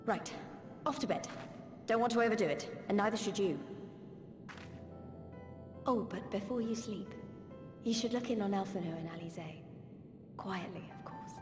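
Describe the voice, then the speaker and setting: An actress playing an earnest young fighter in a video game, confiding in an informal, hesitant way. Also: female voice, mid-range voice, normal speed, long pauses, natural voice. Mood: hopeful, determined. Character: earnest young female fighter, nervous yet determined. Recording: roomy, long reverb, faint music.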